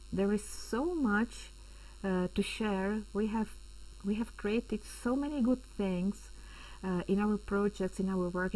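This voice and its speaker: A woman hosting an online webinar in English, speaking haltingly with many fillers and restarts over a computer mic on a video call. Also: medium pitch, warm tone, low-quality recording. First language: Czech. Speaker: webinar host and project coordinator. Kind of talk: hesitant opening of an online webinar.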